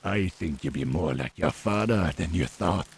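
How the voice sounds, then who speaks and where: A grave troll leader in a video game cinematic with a Caribbean accent. The voice is very low, creaky and deliberate, coldly defiant and ominous. A faint rainy noise sits behind it. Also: male voice, expressive. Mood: stern defiance.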